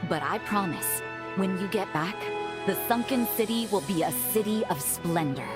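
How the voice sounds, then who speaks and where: A woman playing a confident, warm and teasing leader of a sunken city in a video game cutscene. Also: mid-range voice, lively intonation, normal speed, lightly acted. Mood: wistful, resolute. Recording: music behind the voice.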